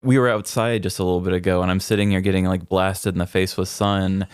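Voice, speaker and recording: A man's voice: low voice, little pitch movement, fast talker, clean tone, no room sound, studio quality. A man co-hosting a podcast in a casual, comedic chatting style. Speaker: podcast co-host.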